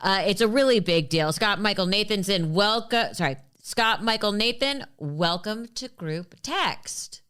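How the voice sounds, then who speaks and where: A woman's voice: mid-range voice, animated, unhurried, hardly any pauses, fairly bright tone, smooth. A woman hosting a podcast, introducing and interviewing a guest in a conversational style. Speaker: podcast host.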